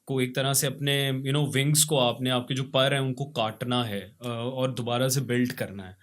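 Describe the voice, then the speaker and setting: A man's voice: mid-range voice, steady tone, normal speed, a bit bright. A middle-aged man with a Pakistani accent, reflecting on his experiences as an interview guest and often mixing in English phrases. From Lahore, Pakistan. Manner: mixes English phrases into speech. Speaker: podcast guest, HR consultant and facilitator. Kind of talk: conversational interview, reflective storytelling.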